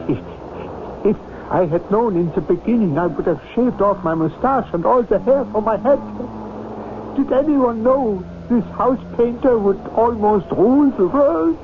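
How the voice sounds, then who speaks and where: Through a noisy, phone-thin old radio broadcast comes a meek, frightened man. His voice is high and he pleads slowly and timidly. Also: phone-quality sound. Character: frightened, meek German man, a doomed dictator's body double. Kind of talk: old-time radio drama acting.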